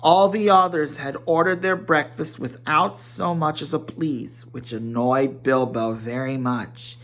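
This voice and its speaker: A man's voice: on the high side, a little slow, a bit bright. A man reading a story aloud as a dramatic amateur narrator.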